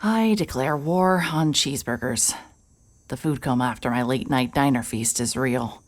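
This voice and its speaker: A woman's voice: rumbling low, normal speed. An actress playing a hard-hearted, sardonic woman private detective in an audio drama, dry and pressing. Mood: weary, wry. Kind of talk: cinematic audio drama acting with first-person noir narration.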